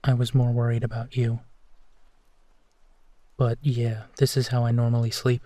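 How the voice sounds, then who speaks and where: A man playing a gentle, protective werewolf boyfriend in an intimate bedtime roleplay audio, speaking softly and soothingly with hesitant pauses. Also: mid-range voice, completely flat delivery, no echo, lightly acted. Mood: tender, willing. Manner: soft, soothing, hesitant pauses, often drops to whispering. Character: gentle protective male werewolf boyfriend comforting his partner.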